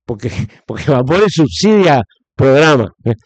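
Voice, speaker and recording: Middle-aged man, Puerto Rican accent, emphatic interview guest with firm opinions, mid-pitched, dry recording. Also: big pitch swings, a little slow, dry recording. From Puerto Rico. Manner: opinionated, emphatic, repeats phrases for stress. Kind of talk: conversational political commentary.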